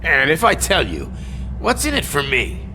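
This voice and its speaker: An actor playing a smug, slippery arcade owner who deals drugs in a video game, sardonic and smooth-talking. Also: male voice, a little high, wildly swooping pitch, moderate pace, noisy background. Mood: bargaining. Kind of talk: video game cutscene acting.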